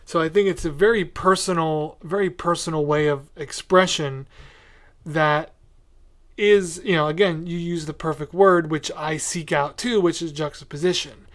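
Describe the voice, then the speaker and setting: A man's voice: on the high side, normal speed, a bit bright. A man hosting a podcast, chatting casually with a guest in an interview style. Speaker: podcast host.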